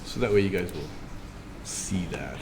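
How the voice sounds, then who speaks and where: Low-voiced man teaching in a reverberant room. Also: animated, moderate pace. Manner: explains step by step while demonstrating, informal. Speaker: instructor. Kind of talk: in-class software tutorial. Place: classroom. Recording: reverberant room with background noise.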